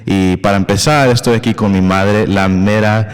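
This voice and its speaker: A man's voice: on the low side, steady tone, normal speed, clear, resonant tone, big-room sound. A male podcast host talking casually and hesitantly, with many fillers and English words mixed in. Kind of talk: casual conversational interview.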